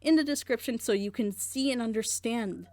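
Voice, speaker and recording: A woman's voice: on the high side, normal speed, mellow, no room sound. A woman hosting a group reaction video, critiquing a story in a passionate, rambling way. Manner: frustrated, rambling, emphatic. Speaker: reaction channel host.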